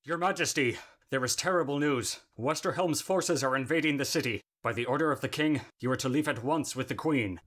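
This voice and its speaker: Man acting as dutiful royal attendant, medium male pitch, formal, earnest, measured phrasing, ASMR fantasy roleplay. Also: a bit bright, close to an everyday voice. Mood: urgent.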